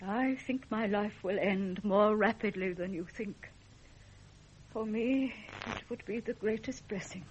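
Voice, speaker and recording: A woman's voice: mid-range voice, measured pace, slight background noise, lightly acted, low-quality recording. An actress playing an imperious, grieving elderly queen mother with an English accent, in a vintage British radio drama. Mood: aggrieved, mournful. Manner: regal, stern, formal, dramatic.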